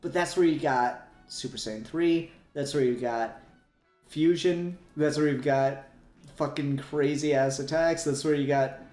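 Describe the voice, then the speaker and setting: A man's voice: fairly high pitch, steady tone, unhurried, a little mellow. A man in casual, sweary fan discussion as a podcast co-host, rambling along with emphatic repetition. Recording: roomy echo, faint music behind.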